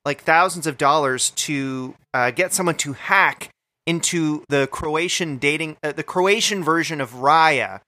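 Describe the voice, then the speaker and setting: A man co-hosting a comedy podcast, telling absurd stories in a mock-macho, deadpan way. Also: on the high side, measured pace. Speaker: podcast co-host.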